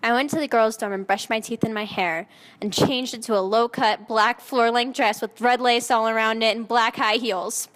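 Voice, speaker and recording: A woman's voice: on the high side, steady tone, moderate pace, a bit bright. A woman narrating fan fiction in a mock-dramatic style, often close to giggling at the text. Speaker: narrator and reader. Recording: long echoing reverb.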